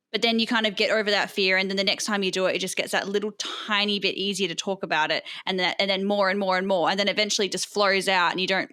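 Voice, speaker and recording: A woman hosting a podcast in casual chat, curious and lively, asking follow-up questions. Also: medium pitch. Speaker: podcast host.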